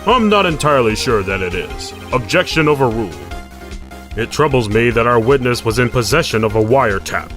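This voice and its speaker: A man's voice: medium pitch, lively intonation, brisk, fairly bright tone, faint music behind, natural voice. A man voicing a stern but fussy older male judge, formal and authoritative. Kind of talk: fan dub of game dialogue.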